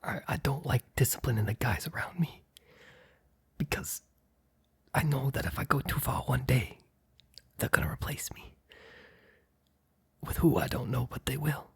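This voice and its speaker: Husky whispering man playing a guarded mob boss. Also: medium pitch, normal speed, long pauses. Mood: resigned, earnest. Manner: hesitant, hushed, earnest. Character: guarded, apologetic young male mob boss, boyfriend confessing. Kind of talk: intimate ASMR roleplay monologue.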